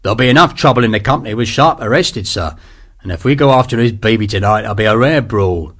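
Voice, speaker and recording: A man voicing a brutal, scheming army sergeant who talks in coarse, rough slang. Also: fairly low pitch, quick pace, fairly bright tone. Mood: sly.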